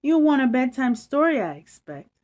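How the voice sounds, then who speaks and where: A woman voicing a big, gentle male bear in a storybook read-aloud, patient and gently teasing. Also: high voice, big pitch swings, moderate pace, pitched above the performer's own voice. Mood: indulgent.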